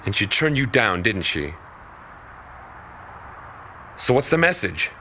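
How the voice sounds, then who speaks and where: A man's voice: lowish voice, sing-song, slow pace, pushed and bright, slight background noise, exaggerated voice. An actor playing a stern, protective young man in an audio drama, terse and commanding. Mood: threatening, commanding.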